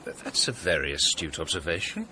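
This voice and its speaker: An actor playing a thoughtful gentleman friend who speaks in a calm, reassuring way. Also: deep voice, fairly quick, slight background noise. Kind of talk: period radio drama acting.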